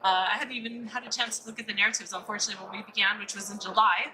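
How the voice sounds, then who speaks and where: A woman with a Californian American accent gives an upbeat talk to a conference room. Her voice is very bright and sits at an ordinary pitch for a woman. A faint hiss of background noise runs under the recording. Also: fairly even intonation, racing, poor audio. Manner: upbeat, explanatory, asks and answers her own questions. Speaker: conference presenter. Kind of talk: conference presentation.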